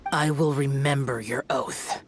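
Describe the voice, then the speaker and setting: A man's voice: mid-range voice, fairly even intonation, a little slow, a little hiss or hum, lightly acted. A man voicing a solemn, aloof young guardian spirit who speaks in short, cold lines, in a video game dub. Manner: terse, cold, clipped. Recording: game audio with music behind.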